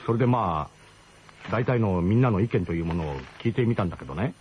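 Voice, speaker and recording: Male actor as awkwardly diplomatic camp cook: casual, halting, roundabout, radio drama on a faintly noisy old broadcast. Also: lowish voice, animated, darkish tone, natural voice. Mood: awkward, hesitant.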